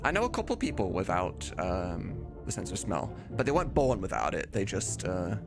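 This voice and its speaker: A man's voice: low voice, very animated, normal speed. A man in his thirties chatting casually on a live stream, rambling and candid. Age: 30s. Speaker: live streamer, game dub maker. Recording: music playing behind the voice.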